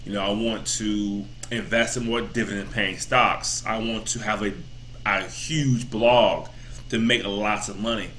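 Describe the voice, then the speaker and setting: A man's voice: fairly low pitch, steady tone, measured pace, bright, forceful tone, slight background noise. A man in his thirties giving a casual, rambling motivational talk as a vlogger, full of repeats and "you know" fillers. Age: 30s.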